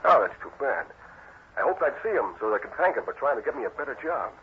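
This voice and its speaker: A tinny, hissy vintage radio recording of a man acting a naive little safecracker. He talks fast and deferentially in street slang, eager and trusting. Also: mid-range voice, very animated, natural voice.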